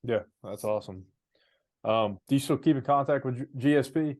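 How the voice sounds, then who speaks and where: Casual male podcast interviewer, medium pitch. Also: brisk, a little mellow.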